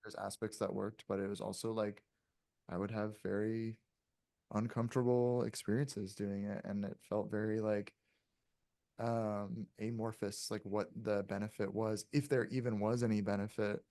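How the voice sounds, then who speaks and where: A man's voice: fairly low pitch, little pitch movement, normal speed, vocal fry, dark tone, dry recording, clean studio sound. A man in his late twenties chatting casually as a podcast guest, reflective and hedging, swearing freely.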